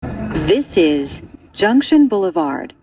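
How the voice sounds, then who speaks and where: A woman reading scripted train announcements in a measured, segmented way. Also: fairly low pitch, big pitch swings, mellow, highs cut off.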